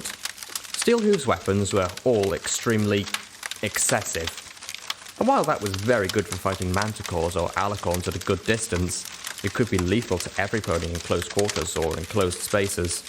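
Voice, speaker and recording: A man narrates an audiobook in a fairly low voice. He reads scripted first-person story prose, as a storyteller would. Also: animated, moderate pace, noisy background.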